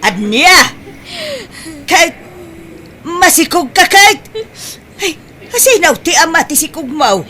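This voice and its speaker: A woman's voice: extremely high pitch, very animated, slow pace, long pauses, pushed and bright, slight background noise, heavily acted. A woman with an Ilocano accent playing a nosy, dramatic gossip in an amateur comedy drama. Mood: shocked, scolding.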